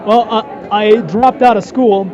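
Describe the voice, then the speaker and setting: A man's voice: high-pitched, steady tone, a little slow. A man in his late twenties giving casual, blunt answers in an informal interview. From born in Colombia, lived in the United States. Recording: dull sound in noisy public space. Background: crowd chatter.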